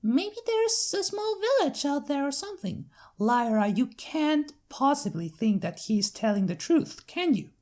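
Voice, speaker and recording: Young female cartoon pony played by a woman, high-pitched, lively, inquisitive and chatty. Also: measured pace, hardly any pauses. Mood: curious. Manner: bubbly, inquisitive.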